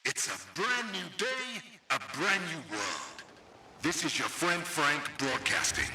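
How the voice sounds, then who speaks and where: An actor playing an upbeat, hopeful older radio host in a video game, announcing in a theatrical broadcast style. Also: male voice, fairly high pitch, huge pitch swings, takes it slowly, sharp tone, a little hiss or hum, exaggerated voice. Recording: echoing broadcast with music behind.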